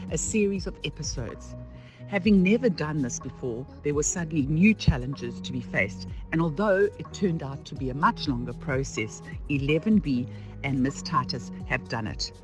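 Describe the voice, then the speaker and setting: A woman presenting a scripted introduction in a formal, measured way. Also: deep voice, rough recording. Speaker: presenter introducing a school radio play. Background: music.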